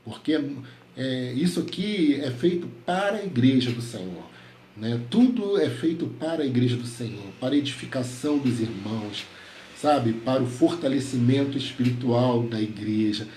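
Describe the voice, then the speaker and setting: Pastor guy with a stuffy nose from a cold, mellow dark voice, praying with lots of o god's, echoey room with a little hiss. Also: medium pitch, lively intonation, normal speed. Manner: fervent prayer full of repeated invocations. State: nasal from a head cold. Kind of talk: prayer and devotional address. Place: home. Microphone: headset. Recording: echoey room, faint background noise.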